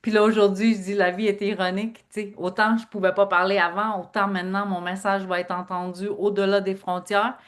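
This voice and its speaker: A woman's voice: medium pitch, level tone, brisk, few pauses. Earnest middle-aged Québécois woman. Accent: Québécois French. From Quebec. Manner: earnest storyteller, leans on filler phrases. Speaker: guest, speaker and author. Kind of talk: personal interview talk.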